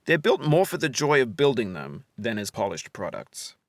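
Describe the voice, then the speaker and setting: A man giving reflective scripted narration as a game developer, in a measured, articulate, philosophical manner. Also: medium pitch, big pitch swings, no room sound, clean studio sound.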